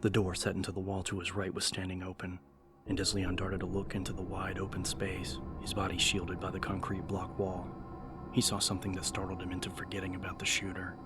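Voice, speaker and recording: A man with a deep voice narrates dramatic fiction. His delivery is measured and suspenseful, staying fairly flat in pitch. Faint music runs beneath the audiobook.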